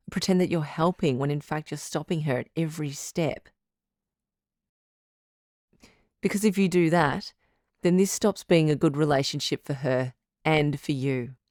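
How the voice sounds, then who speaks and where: A woman playing a composed, frank relationship doctor who hosts a radio call-in drama in a measured, counselling way. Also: low-pitched, darkish tone, dry recording, clean studio sound, natural voice. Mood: firm, caring. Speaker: radio advice show host.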